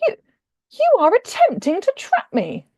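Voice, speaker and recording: A woman's voice: very high voice, huge pitch swings, a little slow, a little mellow, dry recording, voice pushed up, heavily acted. A woman voicing a stern, flustered Regency duke in an audiobook, indignant, clipped and emphatic. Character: stiff, stern, flustered Regency duke, proud rule-follower.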